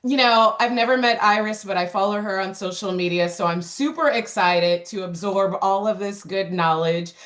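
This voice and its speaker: A woman's voice: mid-range voice, moderate pace, hardly any pauses, a bit bright. A woman real estate agent chatting cheerfully on a video call, laughing often. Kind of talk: casual chat on a video call.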